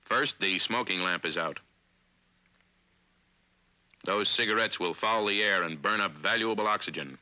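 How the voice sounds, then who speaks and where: A man with an American accent narrates in the style of a 1950s training film. His lowish voice is very bright and forceful, with deliberate, authoritative phrasing. The sound is a dry period film soundtrack. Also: dry recording, low-quality recording.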